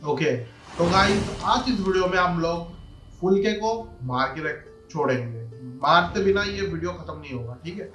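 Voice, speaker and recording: A man talks very fast in a slightly high voice as he commentates on a game. He is casual and slangy, like a streamer chatting to viewers. The recording is roomy, with noise and clicks behind him. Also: lively intonation, music in the background. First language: Hindi. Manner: informal, slangy.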